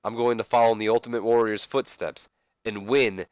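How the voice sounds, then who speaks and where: A man's voice: on the low side, steady tone, brisk, clear, resonant tone, dry recording, natural voice. A man playing a confident, self-satisfied wrestling champion, boastful and earnest as in a promo.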